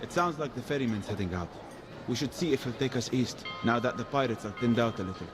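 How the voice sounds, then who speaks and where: A man's voice: medium pitch, animated, quick pace, over music, natural voice. A man playing a watchful, blunt guard and guide in an audio adventure game, terse and commanding. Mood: matter-of-fact. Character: seasoned male guard and guide, watchful, blunt, gruff protector.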